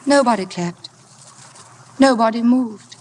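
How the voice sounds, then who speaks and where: An actress playing a timid, naive young bride, earnest and emotional, in a 1950s radio drama. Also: female voice, fairly high pitch, takes it slowly, a little mellow. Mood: haunted, reflective. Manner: earnest, hesitant, breathlessly emotional. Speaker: lead actress and narrator of a radio play. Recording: vintage radio broadcast with orchestral music.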